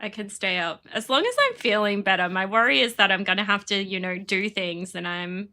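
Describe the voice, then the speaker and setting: Sniffly, bright-voiced woman streamer. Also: mid-range voice, lively intonation, fairly quick. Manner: rambling, chatty, laughs often. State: sniffly with a cold. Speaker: game streamer. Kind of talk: casual chat while playing.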